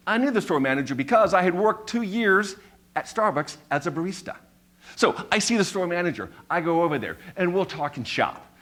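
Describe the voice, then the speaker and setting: A man in his fifties telling a personal story on stage, with a stutter that repeats sounds and words, building his points through measured, emphatic repetition. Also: sits high, lively intonation, fairly bright tone. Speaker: speaker giving a talk.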